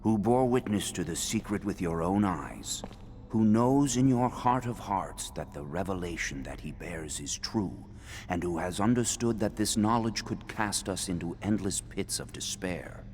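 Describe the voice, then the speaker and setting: Game grand master, very deep male voice, solemn, authoritative, ceremonial, measured, slight rumbling noise. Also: lively intonation, a little mellow. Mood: solemn, ritual. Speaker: voice actor in a role-playing video game. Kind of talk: solemn dramatic game dialogue.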